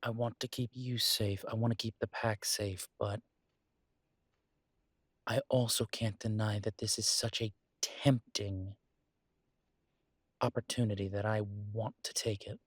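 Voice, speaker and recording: A man playing a conflicted, protective werewolf pack leader in an intimate audio roleplay, speaking hesitantly and reflectively with halting pauses. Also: lowish voice, fairly even intonation, breathy edge, no room sound, studio quality. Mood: torn, earnest.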